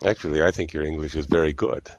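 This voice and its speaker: A man hosting a casual online group chat, explaining things in a measured, halting way. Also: extremely low pitch, fairly even intonation, dull audio, slight background noise. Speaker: host of an online group discussion of consultants.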